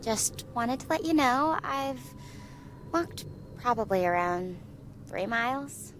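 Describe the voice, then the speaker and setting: A woman playing a stranded, guilt-ridden young astrophysics researcher in a sci-fi drama, speaking softly and hesitantly in a confiding way. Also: fairly high pitch, lively intonation, slow, slight background noise, low-quality recording. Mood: tired, friendly. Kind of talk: conversational sci-fi roleplay.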